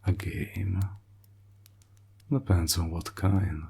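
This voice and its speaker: A man playing a sly evil warlock in a roleplay audio drama, teasing and deliberate, with a breathy, gravelly low voice. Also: little pitch movement, mellow, full character voice. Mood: intrigued, teasing. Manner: slow, deliberate, drawling, insinuating. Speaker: voice actor. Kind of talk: roleplay audio drama, intimate villain monologue.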